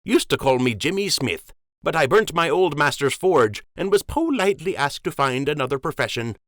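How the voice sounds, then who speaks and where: A man's voice: on the high side, expressive, normal speed, a bit bright, dry recording, clean studio sound, pitched above the performer's own voice. A man voicing a rambling, cheerful rabbit dock worker who talks in a folksy, ungrammatical country dialect.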